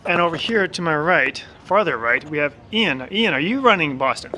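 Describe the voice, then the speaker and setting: A man's voice: fairly high pitch, lively intonation, moderate pace, sharp tone, a little hiss or hum, rough recording. A man hosting a casual podcast outdoors on campus steps, upbeat and joking as he asks his guests questions. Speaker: podcast host.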